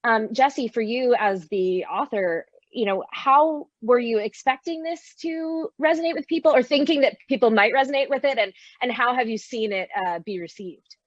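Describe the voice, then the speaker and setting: Woman hosting a panel interview, dry slightly dull call audio, voice a bit high. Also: normal speed, few pauses, no echo. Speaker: moderator. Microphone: video call.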